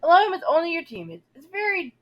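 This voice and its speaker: Woman as strict older female teacher, prim and halting, high voice that swoops about, audio drama. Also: very animated, lo-fi sound. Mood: stern concern.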